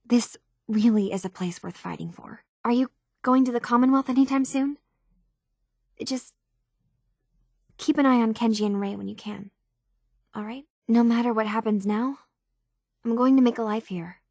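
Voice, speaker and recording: Troubled young game heroine, earnest, halting, hushed, searching, dry studio-like sound. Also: female voice, a little high, no room sound. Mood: calm, resolved. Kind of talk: video game voice acting.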